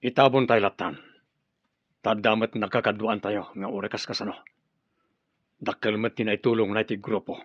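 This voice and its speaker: A man with a Filipino accent playing a grave, measured rebel commander in a radio drama. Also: on the low side, steady tone, slightly rough, dry recording. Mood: grave, resigned. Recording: muffled dry studio radio drama.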